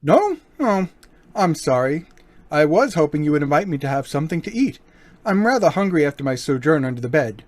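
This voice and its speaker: A man playing a suave, menacing gentleman burglar in an old-time radio crime drama, mock-courteous and taunting. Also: fairly high pitch, sing-song, brisk, no echo. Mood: teasing, unhurried.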